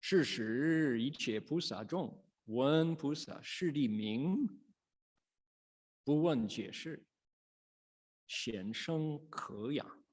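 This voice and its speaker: A man reciting and teaching Buddhist scripture as a monk, in a measured, dramatic storytelling manner with pauses. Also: mid-range voice, animated, darkish tone. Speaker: Buddhist monk, sutra lecturer. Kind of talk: sutra recitation and dharma lecture.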